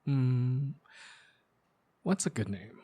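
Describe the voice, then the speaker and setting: A man's voice: mid-range voice, animated, drawn-out words, breathy edge, exaggerated voice. Caring young best friend, acted by a man. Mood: hesitant, sincere. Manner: soft-hearted, hesitant, casual chatty phrasing. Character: caring, earnest young male best friend, gently opening up. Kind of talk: ASMR roleplay, intimate one-sided conversational acting.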